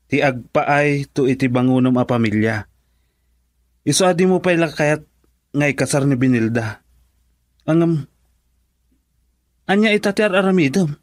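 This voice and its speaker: A man's voice: fairly high pitch, animated, moderate pace, long pauses, no echo, clean studio sound, close to an everyday voice. A man playing a male friend who urgently advises his buddy, in Ilocano-accented radio drama dialogue. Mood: urging, advising.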